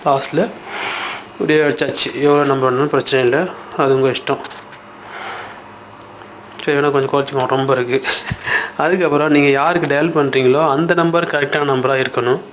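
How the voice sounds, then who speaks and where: A man speaks at an ordinary middle pitch, guiding listeners step by step like an online tutorial host. His phrasing is informal and colloquial as he explains each action aloud. The amateur recording carries faint background noise. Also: fairly even intonation, brisk, pauses often.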